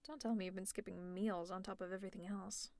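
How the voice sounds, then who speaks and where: A woman's voice: medium pitch, steady tone, fast talker, vocal fry, a little mellow, no room sound, natural voice. A woman playing a teasing, exasperated, secretly caring female supervillain in an ASMR audio roleplay, with a sarcastic, conversational delivery. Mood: dry, concerned.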